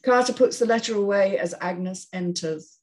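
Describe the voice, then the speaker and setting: A woman's voice: medium pitch, normal speed, a little mellow, poor audio. A woman narrating and hosting a script reading, reading out screenplay stage directions. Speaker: narrator and panel host.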